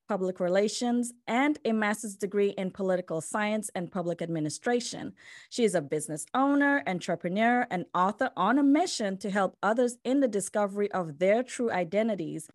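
A woman's voice: medium pitch, no room sound, studio quality. A woman hosting a podcast interview, upbeat and encouraging with her guest. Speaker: podcast host.

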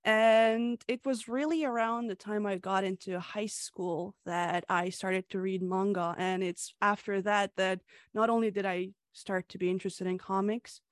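A woman's voice: medium pitch, steady tone, a little slow, no echo. Chatty young woman, dry close sound. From Hungary. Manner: chatty, laughs often, frequent fillers. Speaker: comic creator, guest. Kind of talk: casual interview answers.

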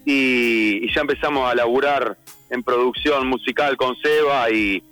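A man's voice: mid-range voice, measured pace, a bit bright, no room sound, faint music behind. A man with an Argentine accent, a musician giving a casual interview in an informal, appreciative manner.

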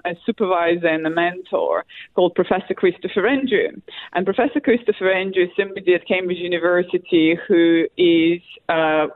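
A woman from Russia with a Russian accent, a historian answering interview questions in a halting way, full of fillers and restarts. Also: on the low side, no room sound. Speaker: guest, academic historian. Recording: tinny phone line.

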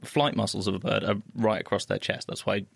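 A man's voice: fairly low pitch, flat delivery, a little fast, gravelly, husky, no room sound, clean studio sound. A man, a guest scientist on a radio show, explaining with enthusiasm and often restarting his phrases. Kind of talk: casual radio science chat.

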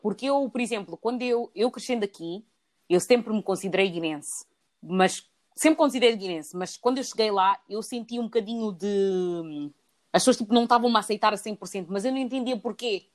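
A woman's voice: medium pitch, brisk. Earnest young woman talking things through. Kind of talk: casual chat.

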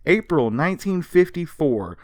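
A man's voice: on the high side, big pitch swings, moderate pace, no echo. Man telling history, close dry sound. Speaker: podcast co-host. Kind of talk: casual storytelling of comics history.

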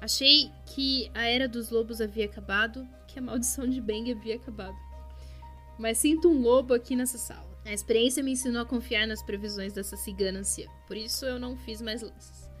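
Woman voicing courteous gray-bearded older man, formal, polite, high-ish voice, faint music. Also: fairly quick, a bit bright, close to an everyday voice.

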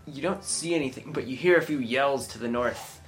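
A man narrating a tabletop game as game master, often pausing on fillers as he describes the scene. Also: mid-range voice, soft background music, rough recording. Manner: halting narration with many fillers.

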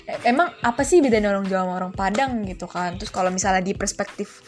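A woman's voice: mid-range voice, fast talker, over music. A young woman from Central Java chatting as a podcast guest, telling stories with a laugh and acting out the voices of the people she quotes. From Central Java, Indonesia. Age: young adult. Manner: lively, laughing storyteller who acts out quoted voices. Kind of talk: casual chat.

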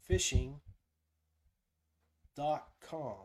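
A man's voice: a little high, drawn-out words, slight background noise. A man hosting a casual live tutorial, thinking aloud as he demonstrates each step. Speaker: podcast host giving a software tutorial.

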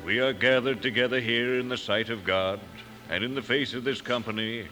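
A male actor plays a solemn parson in an old radio western. His mid-pitched male voice is formal and ceremonial, with organ music playing clearly behind it. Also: steady tone, normal speed, pushed and bright, natural voice.

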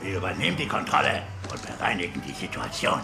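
An actor playing an irritable, self-important gangster who talks brusquely and impatiently in a dubbed TV drama. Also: male voice, sits low, very animated, quick pace, vocal fry, over music, exaggerated voice. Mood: domineering, grim. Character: irritable self-important male gangster taking charge, paranoid. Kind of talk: German-dubbed TV drama acting.

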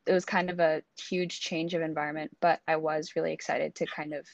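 A woman in her twenties from Singapore, a student guest talking casually in an interview, relaxed and laughing softly between thoughts. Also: sits low, a little fast, gravelly, no room sound, dull audio. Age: 20s. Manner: relaxed, with frequent fillers and soft laughs.

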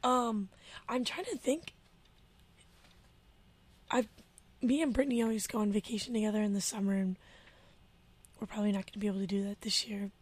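A young woman co-hosting a college radio show, chatting in a relaxed, offhand and playful way from a radio studio. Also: medium pitch, brisk, long pauses, husky. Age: young adult. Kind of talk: casual chat.

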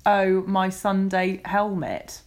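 A woman's voice: medium pitch, steady tone, slow, darkish tone. Chatty woman podcast host. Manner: chatty, giggly, easily amazed. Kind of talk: casual chat.

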